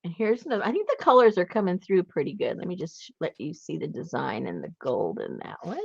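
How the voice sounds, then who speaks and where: A woman's voice: lowish voice, sing-song, fast, gravelly, husky, lo-fi sound. A woman hosting a casual live craft show, chatting and showing papers to the camera. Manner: chatty, laughs often, shows papers to camera. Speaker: host selling journal kits.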